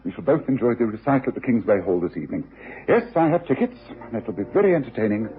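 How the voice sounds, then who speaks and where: An actor playing a shrewd, secretive British gentleman detective with a brisk, authoritative manner in old radio drama style. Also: medium pitch, sing-song, lightly acted. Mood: wry, composed. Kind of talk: 1950s-60s radio drama acting. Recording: muffled, noisy old radio recording.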